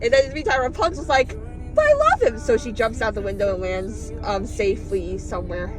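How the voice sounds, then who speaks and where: An excitable, playful woman hosting a casual, comic retelling of fairy tales. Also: mid-range voice, fairly quick, music in the background. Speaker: host.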